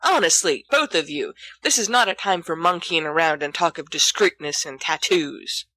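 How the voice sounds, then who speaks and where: A woman's voice: deep voice, animated, normal speed, pushed and bright, no room sound, exaggerated voice, rough recording. A woman voicing an imperious, flippant teenage schoolboy with a commanding, teasing manner in an audiobook story.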